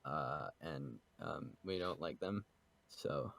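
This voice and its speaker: A man's voice: a bass voice, slow pace, creaky, fairly bright tone. A man chatting casually as a podcast host, joking, swearing and rambling as he goes. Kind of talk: casual group chat about a manga.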